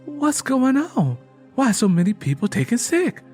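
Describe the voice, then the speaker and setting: A woman's voice: low-pitched, huge pitch swings, normal speed, dark tone, soft background music, pitched above the performer's own voice. A man voicing a worried, caring young Southern woman who presses with anxious questions. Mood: concerned.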